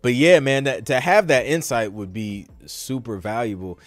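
A man's voice: medium pitch, moderate pace, dry recording. A man hosting a podcast in a casual live Q&A, talking informally with slang and thinking aloud as he goes. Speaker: podcast host answering listener questions.

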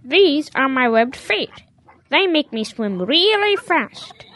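A woman's voice: sits high, very animated, slow pace, a bit bright, exaggerated voice. A woman voicing a stubborn, naive young cow who pretends to be a duck, earnest and childlike, in a children's audio drama. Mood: proud, insistent.

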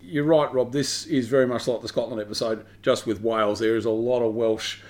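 A man's voice: medium pitch, fairly even intonation, a little mellow. A man co-hosting a casual podcast, eager and listing examples. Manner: rapid, eager, lists examples. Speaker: podcast co-host. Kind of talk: casual chat.

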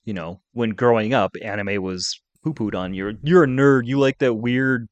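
A man's voice: mid-range voice, normal speed, dry recording, highs cut off. A middle-aged man talking casually and conversationally as the co-host of a podcast.

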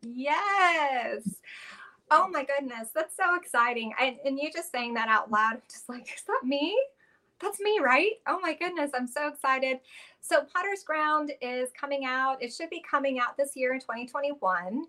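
Bright-voiced earnest woman. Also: high-pitched, lively intonation, moderate pace. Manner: earnest, heartfelt, with frequent restarts and fillers. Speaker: actress guest. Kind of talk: casual interview talk.

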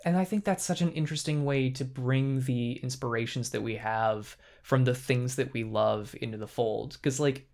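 Man, casual podcast co-host, eager and playful, with a medium-pitched voice. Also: moderate pace, gravelly, hardly any pauses, darkish tone. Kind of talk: casual podcast chat about tabletop games.

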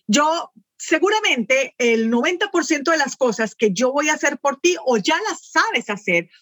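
A woman with a Colombian accent mixed with Venezuelan words, a guest explaining virtual assistance with lively examples in a casual podcast chat. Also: high-pitched, dry recording.

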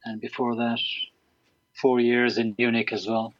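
A man's voice: medium pitch, flat delivery, a little slow, dry recording, dull audio. A man in his sixties with an Irish accent, an interview guest talking casually with many ums and restarts. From Ireland. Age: 60s. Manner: hesitant, lots of ums and restarts.

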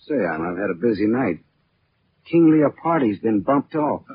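An actor playing a hardboiled, sardonic private detective in a 1940s radio drama, clipped, slangy and wisecracking. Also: male voice, lowish voice, very animated, normal speed. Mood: coolly accusing. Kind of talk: 1940s radio detective acting with first-person narration. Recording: muffled, noisy late-1940s radio recording.

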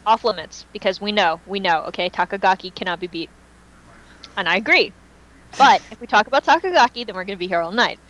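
A woman's voice: mid-range voice, quick pace, fairly bright tone, a little hiss or hum, poor audio. A woman chatting casually as a fan podcast panelist, speaking in a gushing, emphatic and dramatic way.

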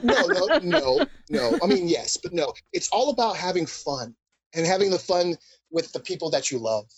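A man's voice: high voice, animated, normal speed. A man talking casually as a podcast guest, swearing freely and joking in an effusive way. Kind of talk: casual chat.

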